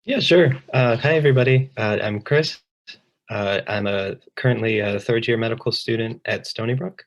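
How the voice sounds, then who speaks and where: A man in his twenties, a third-year medical student, introducing himself in a laid-back way with many pauses. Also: mid-range voice. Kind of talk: self-introduction on video call.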